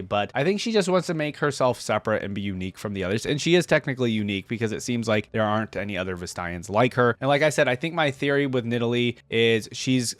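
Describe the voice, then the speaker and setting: A man's voice: medium pitch, no echo. A man casually commentating as a video host, rambling conversationally and speaking straight to his viewers. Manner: conversational, addresses viewers directly.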